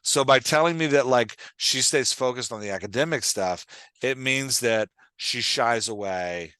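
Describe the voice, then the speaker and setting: A man with a bright, forward voice and a mid-range pitch talks like a coach on a podcast. He explains in a conversational, instructive way, thinking aloud and checking for understanding. The sound is close and dry. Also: measured pace, smooth, no room sound. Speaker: podcast host and writing coach.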